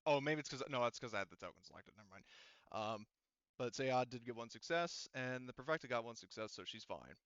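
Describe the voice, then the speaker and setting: Bright, clear-voiced man as game master. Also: mid-range voice, expressive, a little fast, no room sound, muffled recording. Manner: thinks aloud while narrating dice results. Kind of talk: running an online tabletop roleplaying game, casual.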